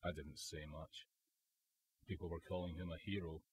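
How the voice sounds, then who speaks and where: A man's voice: deep voice, steady tone, moderate pace, crowd noise, natural voice. A man acting a weary wounded young soldier in a radio drama, sombre and reflective about the war. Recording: faint hiss, student radio drama.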